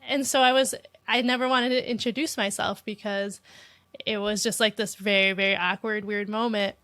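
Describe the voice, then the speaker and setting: Gravelly woman guest with dry sound. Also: a little high, no echo. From born in Spain, raised near Chicago. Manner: soft-spoken, laughs often, restarts sentences. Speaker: guest illustrator. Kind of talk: casual interview chat.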